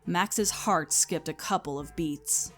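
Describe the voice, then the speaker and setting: A woman's voice: on the low side, slow, a bit bright. A woman reading scripted story narration as the narrator. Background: music.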